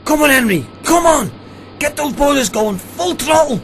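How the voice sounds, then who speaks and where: A man playing an urgent, driving boiler-room crewman, shouting in a radio play. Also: very high-pitched, expressive, moderate pace, faint music behind. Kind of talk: amateur radio play acting.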